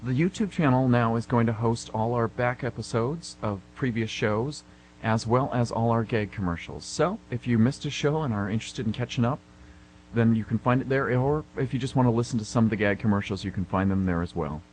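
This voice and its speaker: A man's voice: medium pitch, brisk, darkish tone, low-quality recording. A man co-hosting a radio theater show, making informal announcements. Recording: hum and clear background noise.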